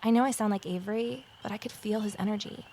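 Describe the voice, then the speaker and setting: An actress playing an earnest woman amateur investigator in an audio drama, talking in a gentle, sincere, conversational way. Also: medium pitch, fairly even intonation, fast talker, a little hiss or hum, lightly acted. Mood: reflective. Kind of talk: audio drama dialogue and first-person narration.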